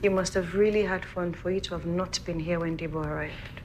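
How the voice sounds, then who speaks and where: An actress playing a composed, courteous young wife who speaks in a measured way. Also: female voice, fairly low pitch, fairly even intonation, a little hiss or hum, lightly acted. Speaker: wife and lady of the house.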